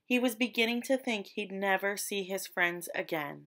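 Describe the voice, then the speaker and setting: A woman storyteller narrating a children's picture book expressively, with deliberate, dramatic emphasis on descriptive words. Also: medium pitch, fairly bright tone. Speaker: read-aloud storyteller.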